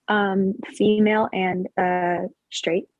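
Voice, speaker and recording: A woman of twenty-four giving relaxed, casual interview answers, with many fillers. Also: mid-range voice, takes it slowly, no echo, muffled recording. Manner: relaxed, laughing, filler-heavy.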